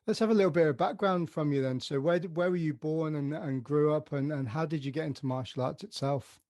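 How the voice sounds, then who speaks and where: A man's voice: fairly high pitch, a little fast, a little mellow, no echo, clean studio sound. A man hosting a podcast, asking long, rambling questions with many restarts. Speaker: podcast host. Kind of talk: podcast interview.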